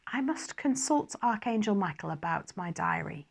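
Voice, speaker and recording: A woman's voice: mid-range voice, very animated, moderate pace, vocal fry, breathy edge, exaggerated voice. A fretful archangel bureaucrat , voiced by a woman, formal and wry, confiding in a diary. The manner is fussy and faintly superior, in a dramatised storytelling style. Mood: anxious, careful. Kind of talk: first-person dramatised diary reading.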